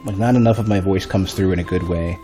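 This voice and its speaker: A male streamer with a deep, bass-heavy voice, a bit stuffy, chatting casually and thinking aloud while he plays. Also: quick pace, mellow, soft background music. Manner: rambling, thinking aloud with restarts. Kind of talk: casual gameplay commentary. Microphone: desk microphone.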